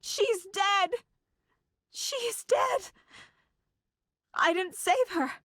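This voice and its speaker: A woman's voice: extremely high pitch, expressive, drawn-out words, a bit bright, no echo, studio quality, full character voice. An actress voicing a focused, determined female investigator in a video game, troubled but dryly wry. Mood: distraught. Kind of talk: dramatic game voice lines.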